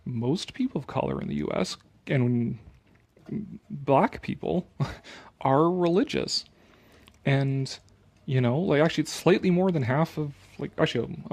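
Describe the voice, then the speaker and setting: A somewhat high-pitched male voice with a gravelly, husky grain. He reads aloud theatrically and drops in sarcastic, mocking asides in a loose, sweary, opinionated style. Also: darkish tone. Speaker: commentator reading an article aloud with his own remarks. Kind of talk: sarcastic dramatic reading mixed with casual opinionated commentary.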